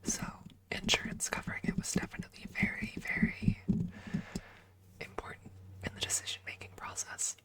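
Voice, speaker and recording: A woman streamer chatting casually and informally in an ASMR livestream, thinking aloud close to the microphone. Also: moderate pace. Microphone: close microphone adjusted for ASMR.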